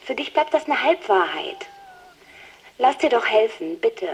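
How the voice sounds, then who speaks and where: A woman's voice: on the high side, sing-song, unhurried, heavy background noise. An actress playing a concerned, insistent woman in a radio drama, earnest and explanatory as she pleads with a friend. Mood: concerned, pleading.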